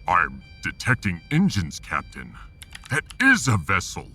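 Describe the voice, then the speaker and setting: Really deep voiced proud space barbarian, grandiose, slow and dramatic, itching for battle. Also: male voice, huge pitch swings, bright, forceful tone, slightly rough, exaggerated voice. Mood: alert. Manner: bellicose, grandiose. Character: proud, battle-hungry male alien-world barbarian warrior officer. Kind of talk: sci-fi audio drama acting.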